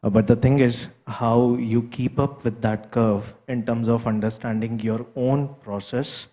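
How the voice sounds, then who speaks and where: A man's voice: medium pitch, steady tone, a little slow, mellow. A man on a business panel explaining his view, often checking in with "right?". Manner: explanatory, tags statements with "right?". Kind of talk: panel discussion remarks.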